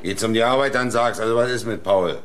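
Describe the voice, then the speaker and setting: A man acts a blunt brigade boss in a radio drama. His voice is low, his talk direct and colloquial, with faint noise behind. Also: fast talker, natural voice. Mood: exasperated. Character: direct, exasperated male work brigade boss. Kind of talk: German radio drama acting.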